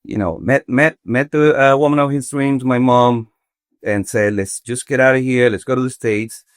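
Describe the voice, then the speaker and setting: A man's voice: mid-range voice, no echo, studio quality. A man in his forties from Venezuela, a contractor talking as a podcast guest, thinking aloud with many restarts. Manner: halting, thoughtful, many restarts. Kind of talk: casual interview talk. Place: podcast studio.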